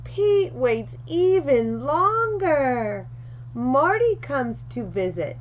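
A woman reads a picture book to young children. Her voice is high and swings widely in pitch, and she takes her time over each phrase, very slowly and deliberately. There is faint noise behind her. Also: lo-fi sound. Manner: animated, deliberate, with questions and asides to young listeners. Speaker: read-aloud storyteller for young children. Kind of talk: expressive picture-book read-aloud.

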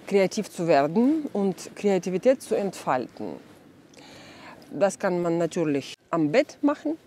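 A woman's voice: mid-range voice, mellow. A young woman from Ukraine, sitting in an outdoor garden and thoughtfully explaining the garden's creative projects, with many fillers. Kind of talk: documentary interview.

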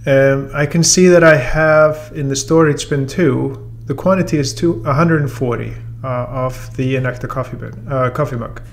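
A man in his thirties giving a relaxed software tutorial, talking through the steps with plenty of fillers. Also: mid-range voice, moderate pace, creaky, hardly any pauses, a little hiss or hum. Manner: relaxed and explanatory, with many fillers. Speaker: software trainer. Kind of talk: casual screen-recorded software tutorial. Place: room with a brick wall and whiteboard, then voice-over on screen recording.

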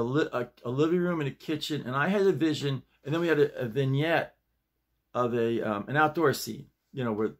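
An older man in his sixties or beyond chatting casually to camera in a folksy, halting way, leaning on repeated emphatic phrases. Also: mid-range voice. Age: 60s or older. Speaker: vlog host and amateur playwright. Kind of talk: casual rambling chat to camera. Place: small home study, indoors.

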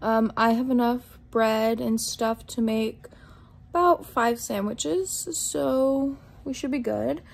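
A woman's voice: fairly high pitch, slow, vocal fry. A woman in her twenties with an American accent, chatting casually to her vlog camera at home. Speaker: vlogger. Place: indoors at home, living room and kitchen. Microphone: phone or camera at arm's length. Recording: noticeable room noise from handheld camera.

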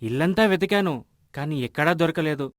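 A man voicing an earnest, generous village husband in an animated moral story, speaking in an explanatory way. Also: fairly high pitch, moderate pace, no echo, studio quality, close to an everyday voice. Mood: concerned.

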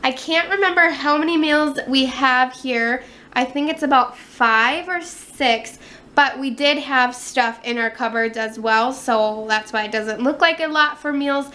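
A woman in her twenties with an American accent, talking casually through items for a home vlog in short, halting phrases. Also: high-pitched, sharp tone. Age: 20s. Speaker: family vlogger. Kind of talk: casual show-and-tell vlog narration. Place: home kitchen. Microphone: handheld camera at arm's length. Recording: noisy handheld camera audio in a kitchen.

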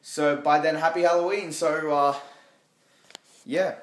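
A man's voice: on the high side, fairly even intonation, a little slow. A young man vlogging casually from a small home kitchen, talking into a phone held at arm's length and rambling with lots of fillers and restarts. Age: young adult. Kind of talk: casual unboxing vlog. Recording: echoey room.

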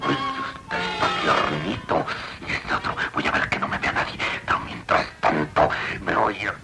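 An actor playing a sly, hungry, melodramatic wolf with a Latin American accent in a cartoon dub. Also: male voice, very deep voice, expressive, normal speed, creaky, heavily acted, low-quality recording. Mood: greedy. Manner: scheming, melodramatic. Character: sly hungry theatrical male wolf, flattering trickster. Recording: old cartoon soundtrack with music.

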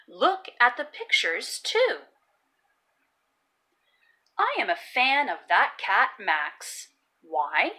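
A woman's voice: sits high, bright, forceful tone. A woman reading a children's story aloud as host, expressively and emphatically, sounding out words for young learners.

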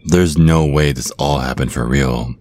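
A man acts out a predatory vampire stage magician for an ASMR roleplay. The voice is exceptionally deep and unhurried. He is hypnotic, smug and domineering, taunting the listener with suave assurance. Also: darkish tone, no echo. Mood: soothing, sly.

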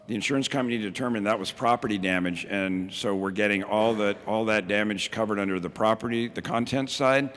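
Middle-aged man, fairly low voice, plainspoken with many ums, podium mic, reverberant hall. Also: fairly bright tone. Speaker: county emergency services director, keynote speaker. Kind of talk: keynote talk and audience Q&A.

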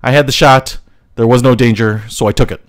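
A man's voice: medium pitch, fairly even intonation, a little fast, no room sound, clean studio sound, lightly acted. An actor playing a brash, self-assured young male navy fighter pilot in a film. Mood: defiant. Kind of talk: 1980s film acting.

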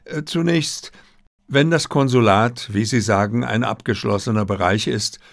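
A man voicing a brisk, self-assured detective who speaks in probing, inquisitive phrases in an audiobook. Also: medium pitch, vocal fry, no room sound, clean studio sound. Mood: probing, keen. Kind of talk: audiobook character dialogue.

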